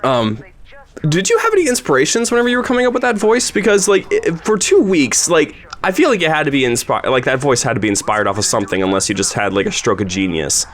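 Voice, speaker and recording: A man's voice: on the high side, very animated, fast talker, fairly bright tone. A man with an informal, rambling, appreciative way of talking, hosting a casual podcast commentary chat. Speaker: host and series creator interviewing a voice actor.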